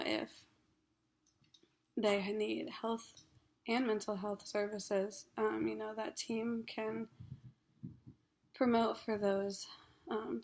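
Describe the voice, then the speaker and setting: A young woman from Ohio in her twenties, a graduate counseling student introducing herself for a class, hoarse from a cold, halting with many ums and frequent throat clearing. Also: on the high side, a little mellow. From Ohio, USA. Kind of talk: class self-introduction video.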